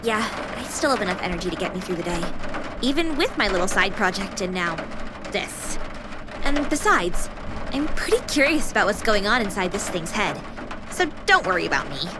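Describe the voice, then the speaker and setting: A woman's voice: mid-range voice, big pitch swings, moderate pace, heavy background noise, lightly acted. A woman playing a teasing, sassy young female AI companion in a video game, with a playful, mock-formal manner. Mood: earnest, reassuring.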